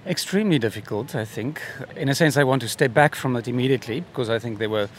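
A man in his fifties from South Africa whose first language is Afrikaans, an author speaking in a measured, reflective way as an interview guest, with hedges and restarts. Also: medium pitch, a little hiss or hum. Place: busy book festival venue.

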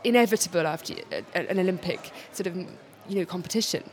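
A woman's voice: fairly low pitch, big pitch swings, fast. A woman co-hosting a radio show, reading intros and asking long questions full of "sort of" and "um". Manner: scripted intros, rambling hedged questions. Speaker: radio host. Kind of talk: radio presenting and interviewing.

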